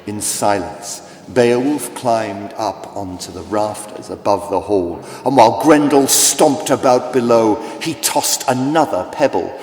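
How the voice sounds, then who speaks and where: A man's voice: on the low side, a little slow, hardly any pauses, darkish tone. A man narrating a children's audiobook as a dramatic, scripted storyteller. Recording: echoey, long hall-like reverb. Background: music.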